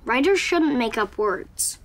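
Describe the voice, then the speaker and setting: A man's voice: extremely high pitch, lively intonation, slow pace, a bit bright, exaggerated voice. A boy actor playing a fussy, pedantic genius child in a sitcom, talking in a precise, formal way. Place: comic book store.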